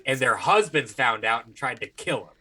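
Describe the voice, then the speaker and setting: An American man with a bright, punchy voice at a medium pitch. He talks as a podcast co-host, casual and blunt, telling crude, joking stories. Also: lively intonation, normal speed. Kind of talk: casual chat, profane storytelling.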